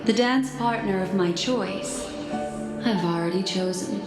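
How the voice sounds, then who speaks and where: A woman's voice: medium pitch, unhurried, lightly acted. A woman playing an elegant, poised and elusive enchantress in a video game. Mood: coolly teasing. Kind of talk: scripted game voice acting. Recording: echoing voice under loud music. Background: loud music.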